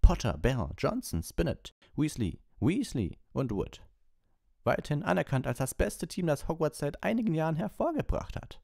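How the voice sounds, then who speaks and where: A man voicing an excitable, partisan schoolboy sports commentator in a storybook, with breathless, exclamatory play-by-play. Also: fairly high pitch, normal speed, vocal fry, no room sound, clean studio sound, voice pushed up, exaggerated voice. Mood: rousing announcing.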